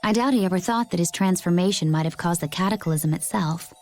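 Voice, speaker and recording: A woman playing a poised, cool-headed young female warrior in an English game dub, speaking in a measured, composed way. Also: on the low side, darkish tone, faint music behind, close to an everyday voice. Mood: composed, serious.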